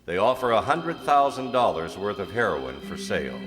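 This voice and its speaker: A man's voice: lowish voice, big pitch swings, normal speed. A man playing a solemn, authoritative 1950s radio crime show announcer, speaking in a dramatic, declamatory style. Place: live stage performance in a hall. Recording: echoey hall with loud music behind.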